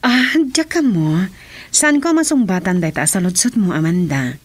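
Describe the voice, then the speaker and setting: A woman confidante in a radio drama. Her voice is a little low for a woman and moves with lively, expressive intonation. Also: moderate pace. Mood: conversational. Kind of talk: Ilocano radio drama acting.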